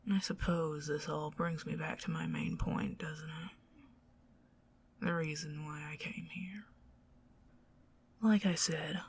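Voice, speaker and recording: A woman with a Southern US accent voicing a possessive, scheming goblin queen in a soft, teasing ASMR whisper. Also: deep voice, expressive, slow pace, creaky, pauses often, husky, heavily acted. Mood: coaxing whisper. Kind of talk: ASMR roleplay.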